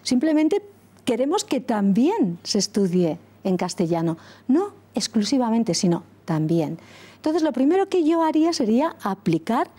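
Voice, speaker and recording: A middle-aged woman from Catalonia, a former school principal, answering interview questions earnestly and deliberately, pausing and correcting herself often. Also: a little high, very animated, mellow. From Catalonia, Spain. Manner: deliberate, with frequent pauses and self-corrections.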